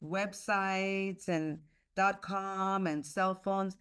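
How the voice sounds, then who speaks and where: Smooth-voiced woman on a video call. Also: mid-range voice, steady tone, very slow, a bit bright. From United States. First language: Spanish. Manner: earnest, many fillers and restarts. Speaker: leader of a leadership institute, guest. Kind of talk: conversational interview answers.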